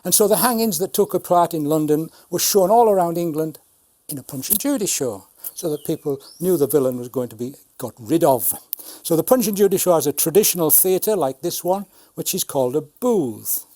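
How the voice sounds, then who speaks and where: Older guy in his 70s, soft mellow voice, a bit high, explaining his craft. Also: expressive, a little fast. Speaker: retired Punch and Judy puppeteer. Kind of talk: explanatory talk about puppetry.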